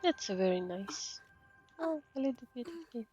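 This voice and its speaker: A woman doing casual live game commentary as a VTuber, murmuring to herself and exclaiming between pauses. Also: on the high side, lively intonation, darkish tone, highs cut off. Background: game music.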